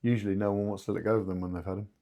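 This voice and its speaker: A man with a fairly low voice speaks as an expert co-host in a casual business discussion. He phrases things informally and haltingly, as unscripted conversation. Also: little pitch movement, warm tone. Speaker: podcast co-host and two-way radio expert.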